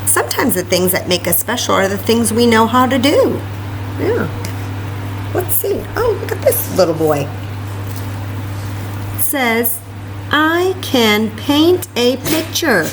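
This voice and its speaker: Female children's story time host, very lively intonation, chatty read-aloud delivery full of questions, captured with clear background noise. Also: a little high, slow, long pauses. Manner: animated, chatty, exclaiming and questioning as if to small children.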